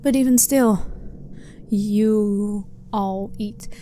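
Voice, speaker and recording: A woman playing a blunt, curious alien scientist in a soft-spoken ASMR roleplay, speaking in a calm, clinical, slightly formal way. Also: mid-range voice, extremely slow, a little hiss or hum.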